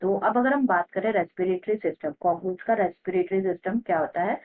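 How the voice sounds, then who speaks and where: An Indian woman teacher explaining a lesson, repeating key terms slowly as if dictating. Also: on the low side. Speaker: biology teacher. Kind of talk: explanatory lesson in mixed Hindi and English. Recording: muffled phone-like narrow-band audio.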